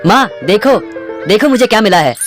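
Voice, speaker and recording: Expressive young shepherd played by a man, lively swings. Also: sits high, a little fast, faint music behind. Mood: excited. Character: Ramu, kind poor young shepherd, devoted son and brother. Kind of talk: children's cartoon story acting.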